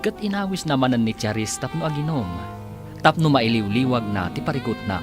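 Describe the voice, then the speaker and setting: A man narrating and announcing a radio drama with a measured, scripted delivery. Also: mid-range voice, lively intonation. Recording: radio drama with music under the voice.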